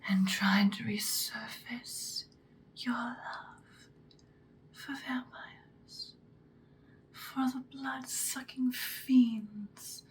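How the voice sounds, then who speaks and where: A woman playing a seductive vampire queen in an ASMR roleplay, whispering breathily in a soothing, predatory, deliberate way. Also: on the high side, slight background noise. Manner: slow, deliberate, hypnotic soothing.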